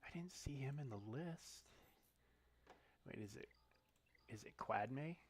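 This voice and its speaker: A man streaming a mobile game, thinking aloud in a relaxed, muttering way as he clicks through menus. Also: medium pitch, slow, breathy edge. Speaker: gaming streamer. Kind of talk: casual live-stream commentary while playing.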